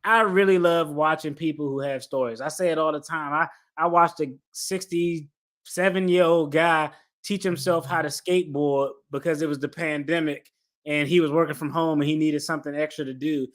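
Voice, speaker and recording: Casual male host with a high, bright-toned delivery. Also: fairly even intonation, moderate pace. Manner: informal, slangy, affirming. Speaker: podcast host interviewing a guest. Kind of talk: casual interview chat.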